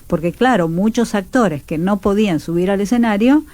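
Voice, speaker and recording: A woman's voice: medium pitch, moderate pace, a little mellow, no echo. A woman with a Rioplatense Argentine accent, speaking conversationally as a radio interview guest and explaining things reflectively. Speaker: radio theatre teacher and announcer, interview guest.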